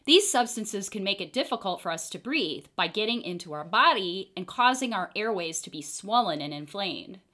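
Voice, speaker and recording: A woman reading a children's book aloud in an expressive storytelling style. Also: medium pitch, normal speed, few pauses. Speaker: storybook reader.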